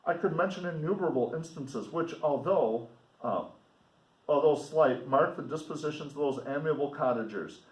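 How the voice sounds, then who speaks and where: Man reading aloud in an echoey room. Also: a little high. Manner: deliberate, explanatory, pausing to comment for students. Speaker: teacher reading a novel aloud with commentary. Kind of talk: read-aloud lesson with casual asides. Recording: roomy echo, distant microphone.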